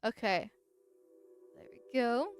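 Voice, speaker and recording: Brief: woman streamer, nasal and high-pitched, rambling, giggly, faint music behind. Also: slow. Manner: rambling, giggly, with frequent filler words. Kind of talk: casual livestream chat.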